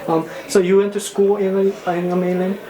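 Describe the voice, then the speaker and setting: A man working as an interviewer, asking halting, informal questions. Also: high-pitched, level tone. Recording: echoey room with crowd chatter. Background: crowd murmur.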